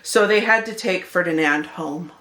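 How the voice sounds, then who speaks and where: A woman's voice: fairly low pitch, moderate pace, sharp tone, slight background noise, poor audio. A woman narrating a children's picture book expressively, as a read-aloud host speaking to young listeners.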